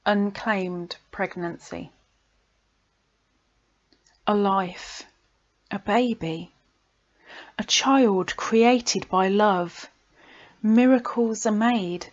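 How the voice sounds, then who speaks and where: A woman reads poetry at a slow, deliberate pace. Her delivery is dramatic and expressive, with breathy pauses that sink toward whispers. The sound is slightly dull and lo-fi, like a home recording. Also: medium pitch. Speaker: poet reading own poems.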